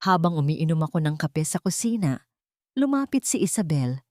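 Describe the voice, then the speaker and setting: Female story narrator, lower register, emotive and measured, close dry recording. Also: big pitch swings, mellow, no room sound. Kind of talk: dramatic first-person scripted narration.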